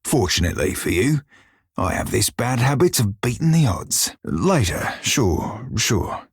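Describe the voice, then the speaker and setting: An actor playing a gruff, wry pirate shiphunter in a video game, with a rough-edged voice and folksy sailor dialect. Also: male voice, medium pitch, big pitch swings, measured pace, dry recording, studio quality, heavily acted. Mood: wry, brash. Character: salty blue-furred pirate shiphunter, former slave, gruff and wry. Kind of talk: video game character dialogue.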